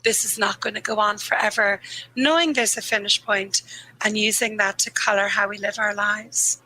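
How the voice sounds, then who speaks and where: A woman grief therapist speaking earnestly and candidly in a podcast interview, a little croaky, slowing down on the points that matter to her. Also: medium pitch, steady tone, bright, forceful tone, slight background noise, rough recording. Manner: earnest, candid, laughs easily, slows on key phrases. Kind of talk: reflective conversational interview.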